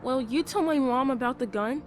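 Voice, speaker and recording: A woman's voice: high voice, normal speed, lightly acted. A woman playing a defiant, hurt child of a policeman in a video game, sullen and stubborn, with noise behind. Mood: sullen defiance.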